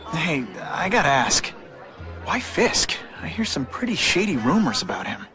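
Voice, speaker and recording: An actor playing a quippy, slightly awkward young American superhero in a video game. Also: male voice, fairly high pitch, very animated, moderate pace, bright, forceful tone, soft background music, a faint crowd. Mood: inquisitive. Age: young adult. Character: wisecracking, nervy young superhero and newspaper photographer.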